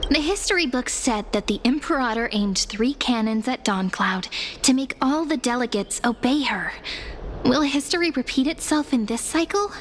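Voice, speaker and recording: A woman's voice: high voice, expressive, normal speed, a little hiss or hum. A woman playing a friendly, curious young traveler in a video game, light, earnest and conversational. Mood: wary. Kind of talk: scripted video game drama acting.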